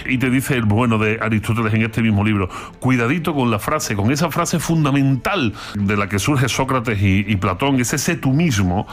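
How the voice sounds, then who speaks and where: A man from Andalusia with an Andalusian accent, explaining philosophy in a lively talk with playful, theatrical asides. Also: medium pitch. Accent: Andalusian Spanish. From Andalusia, Spain. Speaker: philosophy commentator.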